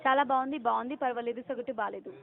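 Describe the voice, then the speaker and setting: A woman's voice: a little high, quick pace, vocal fry. A woman working as a customer care caller, reading a scripted survey formally and mechanically on a muffled phone recording. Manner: robotic, formal, rote reading of options. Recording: muffled, band-limited phone call recording.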